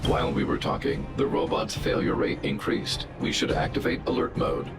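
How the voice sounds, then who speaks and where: An actor voicing a huge, stern male guardian robot who speaks in flat, clipped, analytical sentences. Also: normal speed, natural voice. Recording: echoey, with loud music.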